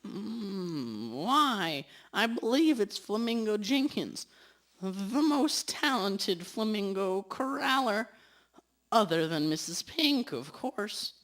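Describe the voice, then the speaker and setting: Woman playing a slow-talking, dim detective's sidekick. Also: medium pitch, big pitch swings, a bit bright. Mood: pondering. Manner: hesitant, drawn-out, humming before answering. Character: slow-witted, droll detective's sidekick, loyal and hesitant. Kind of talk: amateur school radio drama acting.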